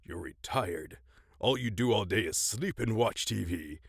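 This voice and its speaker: A man's voice: lowish voice, expressive, unhurried, vocal fry, husky, no room sound, clean studio sound, full character voice. A man playing a pompous ten-year-old boy genius and aspiring evil scientist in an audio drama, speaking theatrically in elaborate words. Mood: indignant.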